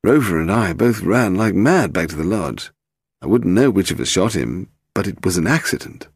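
A man's voice: sits low, big pitch swings, moderate pace, husky, no room sound, studio quality, exaggerated voice. A man voicing a nervous, indignant in an audiobook, prim and plaintive, forever protesting. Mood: aggrieved confession. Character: fussy, nervous, bald upper-class Englishman, indignant and wounded.

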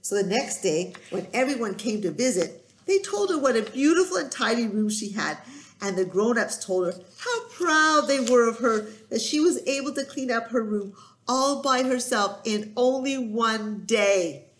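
Female children's storyteller, middle-aged. Highish, very animated, emphatic delivery in a roomy home recording. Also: normal speed, slight background noise. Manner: expressive, emphatic, sing-song delivery for young children.

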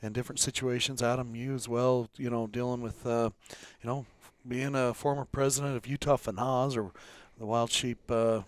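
A man's voice: mid-range voice, flat delivery, normal speed, hardly any pauses, no echo. A middle-aged man co-hosting a podcast in a casual, bantering way on a headset mic. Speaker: podcast co-host. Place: small wood-panelled room, around a table.

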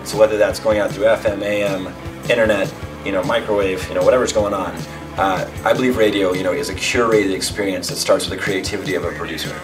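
Man, lowish voice, casual interview, slightly distant mic, music behind. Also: fairly even intonation, quick pace.

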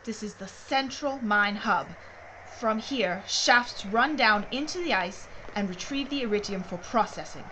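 An actress playing a brisk, secretive mining executive in an audio drama, speaking in a precise, formal way. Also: female voice, mid-range voice, animated, bright, forceful tone, poor audio. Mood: brisk, explanatory. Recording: clear background noise and ambience.

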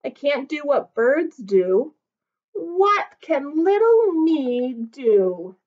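A woman's voice: sits high, sing-song, drawn-out words, pitched above the performer's own voice, full character voice. A woman voicing a tiny three-pound male dog who sadly wishes he could do what bigger animals do, in a plaintive sing-song storybook way. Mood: wistful. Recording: dull lo-fi recording.

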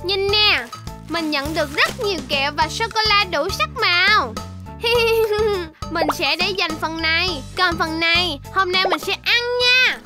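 A woman's voice: extremely high pitch, a little slow, loud music behind the voice. A woman voicing a young baby shark in a children's cartoon, sweet, earnest and easily frightened, speaking straight to young viewers. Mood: cheerful. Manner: exclamatory, addresses young viewers directly.

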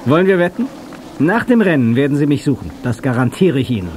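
A confident, daring young male driver speaks in an audio drama. His voice is a little high, with clear noise on the old cassette. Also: big pitch swings, fast talker, a little mellow. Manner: self-assured. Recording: noisy old cassette recording.

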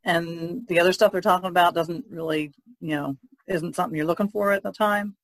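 A woman's voice: lowish voice, fairly even intonation, brisk, dry recording, poor audio. Woman chatting casually, dry sound. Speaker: podcast co-host. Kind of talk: casual chat.

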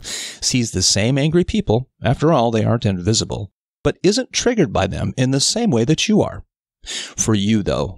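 A man reading a scripted self-help article as narrator, in a measured, deliberate, instructive way. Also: medium pitch, creaky, a little mellow, dry recording, studio quality.

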